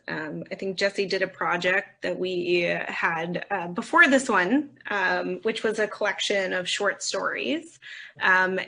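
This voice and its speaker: Woman, executive panelist, conversational answers, bright crisp-edged tone, noticeable vocal fry. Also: mid-range voice. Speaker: audio company executive on a panel. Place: remote video call.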